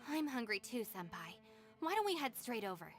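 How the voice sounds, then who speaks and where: A woman's voice: on the high side, very animated, moderate pace, faint music behind. A woman voicing a dry, sensible young deputy with a matter-of-fact manner in a video game. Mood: polite.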